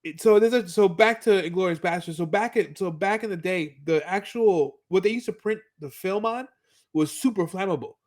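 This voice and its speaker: Male podcast co-host, high-pitched voice, casual fan recap, repeats himself while finding words. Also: normal speed, darkish tone. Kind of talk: casual chat, recapping and commenting on a cartoon.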